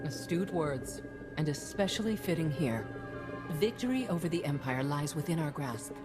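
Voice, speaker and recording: Resolute chancellor, deep female voice, oratorical, stately, game cutscene, music behind. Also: lively intonation, normal speed. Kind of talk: scripted video game acting.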